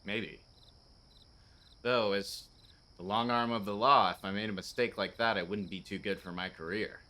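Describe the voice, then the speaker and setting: An actor playing an earnest, rule-bound policeman in a radio play, with a halting delivery. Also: fairly low pitch, steady tone, sharp tone. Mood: stiff, courteous.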